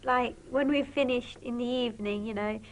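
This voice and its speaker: A British teenage girl speaks in a high voice. She answers informally, as a student being interviewed in a small room. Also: steady tone, normal speed, low-quality recording. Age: teens. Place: small indoor classroom.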